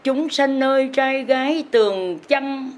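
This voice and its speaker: A woman, a religious teacher who delivers her sermon as drawn-out, sing-song chanted verse and urges her listeners on. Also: high-pitched.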